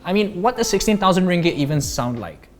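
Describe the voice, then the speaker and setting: A man's voice: high-pitched, sing-song, a little fast. A man in his thirties with a Malaysian accent, narrating a scripted product review as a tech reviewer.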